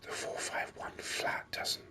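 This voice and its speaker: Gamer doing asmr commentary, all breathy whispering, really slow, kinda rambly and enthusiastic. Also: bright, forceful tone. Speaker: gaming video host.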